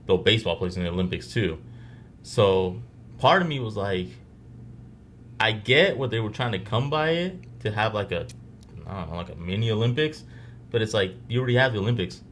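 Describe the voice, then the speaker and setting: A man co-hosting a casual sports podcast, relaxed and questioning as he lays out his view. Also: lowish voice, fairly quick, slight background noise. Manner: relaxed, questioning, laughs easily. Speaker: podcast co-host.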